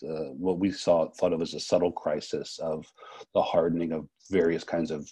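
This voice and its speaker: A man interviewing in a reflective, unscripted way, halting often as he searches for his words. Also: a bass voice, flat delivery, dark tone, slightly rough. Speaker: interviewer, festival co-director.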